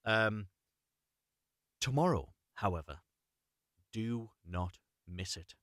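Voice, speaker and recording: Male storybook reader, highly varied intonation, dry recording, informal and unrehearsed, expressive delivery. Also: slow pace, dry recording. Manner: stumbles and corrects himself, expressive. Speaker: reader of fairy tales, host. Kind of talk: live unrehearsed storybook reading with chatty asides.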